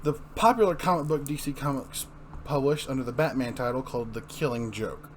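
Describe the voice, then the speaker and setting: A man's voice: mid-range voice, fairly quick. Casual male host, faintly noisy recording. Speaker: host and reader. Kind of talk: casual chat and comic book narration.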